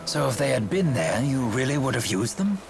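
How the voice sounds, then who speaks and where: Courtly, genteel older gentleman. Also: mid-range voice, big pitch swings, music in the background. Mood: politely curious. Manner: formal, measured, polite. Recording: game audio with clear noise.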